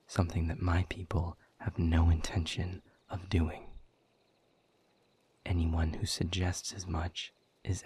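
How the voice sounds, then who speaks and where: A man playing a hopeless, exiled demon in an audio roleplay, weary, bitter and sardonic, with a husky, breathy voice. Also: sits low, dark tone, exaggerated voice. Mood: bitter resignation. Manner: slow, grave, resigned, sardonic. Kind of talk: intimate audio roleplay acting.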